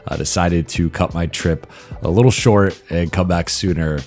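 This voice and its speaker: A man who is a basketball reporter hosting a solo mailbag podcast, his voice hoarse and raspy, talking through statistics analytically with frequent "uh" pauses. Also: deep voice, fairly even intonation, darkish tone, soft background music. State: hoarse, partly lost voice. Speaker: basketball reporter and show host.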